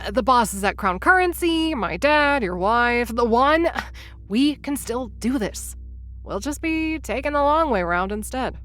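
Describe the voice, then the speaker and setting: A woman's voice: on the high side, expressive, measured pace, fairly bright tone, faint music behind. A woman playing a bubbly, rambling would-be influencer. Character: scatterbrained young woman, wannabe influencer time traveler. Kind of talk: audio drama narration to the listener.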